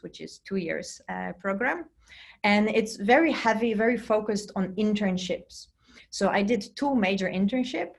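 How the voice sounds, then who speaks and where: A woman in her thirties from Poland, whose first language is Polish, giving an informal online science lecture to students, with lots of fillers and restarts. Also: on the low side. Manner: conversational, frequent fillers and self-restarts. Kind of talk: recorded virtual lecture. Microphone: computer mic on a video call.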